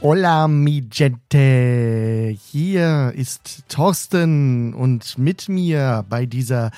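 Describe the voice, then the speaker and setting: A man's voice: medium pitch, drawn-out words, mellow, clear, resonant tone, soft background music. A man co-hosting a casual podcast from a training camp, teasing and joking with his co-host.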